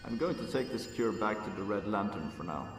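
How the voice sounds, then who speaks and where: A man's voice: lowish voice, lively intonation, brisk, recorded from a distance, lightly acted. Guy voicing chatty wry vampire sidekick in a fantasy game, echoey hall, music behind. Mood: grateful, relaxed. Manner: conversational, wry, rambling. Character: wry, chatty, friendly male vampire adventuring companion. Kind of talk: fantasy game character acting.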